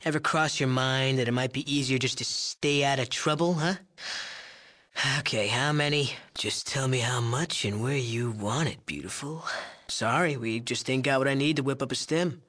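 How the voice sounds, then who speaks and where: An actor playing a cocky, amoral young drug chemist in a video game, sarcastic, whiny and leering, prone to stammering when flustered. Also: male voice, medium pitch, moderate pace, a bit bright, natural voice. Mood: leering, sly. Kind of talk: video game character dialogue.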